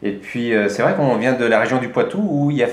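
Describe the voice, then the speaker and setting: A man's voice: mid-range voice, fast talker, reverberant. A middle-aged man from France, a life coach telling his story in a chatty interview with frequent hesitations. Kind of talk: conversational interview.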